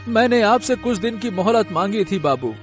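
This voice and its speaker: A man's voice: sits high, animated, moderate pace, faint music behind. A man playing a timid, deferential villager in a cartoon story, hesitant and rustic in manner. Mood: nervous, pleading. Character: timid, deferential village men: henchman and anxious farmer father. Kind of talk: Hindi cartoon story acting.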